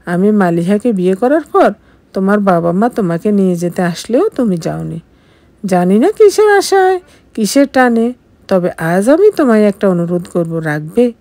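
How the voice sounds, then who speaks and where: A woman's voice: medium pitch, sing-song, moderate pace, dark tone, no room sound. A woman voicing a cold but pleading husband in an audio story, earnest and insistent. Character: married man, cold yet pleading husband.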